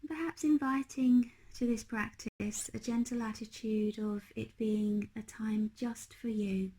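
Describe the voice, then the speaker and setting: A woman leading a guided meditation as a teacher, speaking in a gentle, unhurried, hushed manner. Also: on the high side, fairly even intonation, slightly rough, rough recording.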